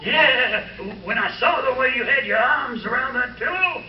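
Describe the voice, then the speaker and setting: Cheeky male valet played by an actor, very high voice, animated radio comedy style, echoey distant stage sound. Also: moderate pace. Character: cheeky male valet teasing his boss. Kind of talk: 1940s radio comedy acting. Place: live stage show before an audience. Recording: echoey stage recording, distant, noisy, dull.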